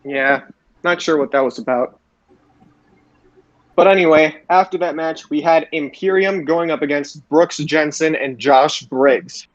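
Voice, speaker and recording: A man's voice: fairly high pitch, measured pace, no echo, dull audio. A man hosting a podcast, recapping wrestling shows for his co-hosts. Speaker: podcast host.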